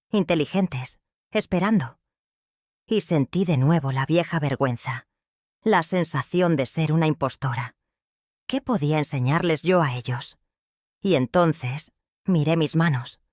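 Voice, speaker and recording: An older woman with a Mexican accent narrating her own life story in a slow, heartfelt, reflective way. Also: low voice, no room sound, clean studio sound. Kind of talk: first-person storytelling narration.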